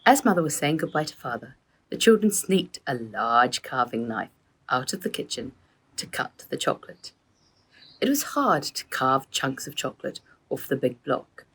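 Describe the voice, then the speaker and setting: A woman reading a children's story aloud as its author, with an expressive, animated storytelling delivery and a husky voice. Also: extremely low pitch, moderate pace. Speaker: author reading own book. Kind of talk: expressive children's audiobook narration.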